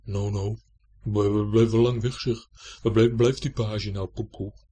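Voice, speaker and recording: A man's voice: fairly low pitch, flat delivery, a little slow, warm tone, breathy edge. A man voicing a short, blustering old knight in rusty armour for a children's story, stuttering and repeating himself in a muffled grumble. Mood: grumbling, impatient. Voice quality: dull, muffled.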